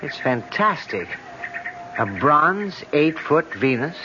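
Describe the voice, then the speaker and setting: A man's voice: fairly high pitch, sing-song, slow pace, sharp tone, full character voice. An actor playing an earnest, superstitious young Frenchman in a radio drama, reverent and intense in manner. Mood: fascinated. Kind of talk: vintage radio drama acting. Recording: dull, noisy vintage radio recording.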